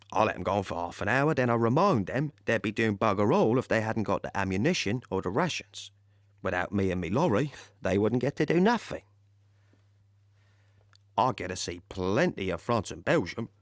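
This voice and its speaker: Man acting a lively English army lorry driver. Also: lowish voice, no room sound, clean studio sound, natural voice. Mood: cocky. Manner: chatty, colloquial, rambling, repeats himself. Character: cheeky, proud British army supply lorry driver. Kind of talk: first-person dramatic monologue in audio play.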